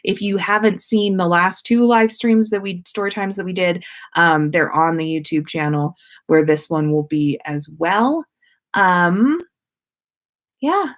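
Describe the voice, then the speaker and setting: A woman hosting a story-time livestream, speaking in an expressive, informal way as she reads and chats. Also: on the low side, unhurried. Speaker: host of a fan fiction story-time livestream. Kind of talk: expressive story reading and casual livestream chat.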